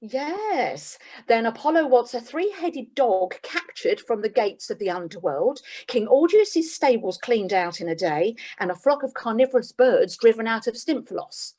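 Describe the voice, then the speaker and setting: A woman's voice: on the high side, sing-song, moderate pace, hardly any pauses, darkish tone. A woman playing a businesslike, slightly weary job agent in a comic radio play. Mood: dry. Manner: businesslike, dry, faintly exasperated. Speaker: employment agent.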